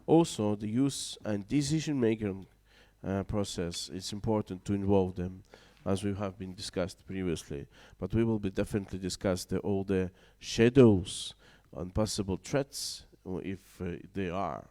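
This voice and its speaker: Man, low voice, mellow tone, halting conference moderator, many filler sounds. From Baltic region. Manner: hesitant, many fillers, non-native phrasing. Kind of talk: panel discussion moderating. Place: conference room.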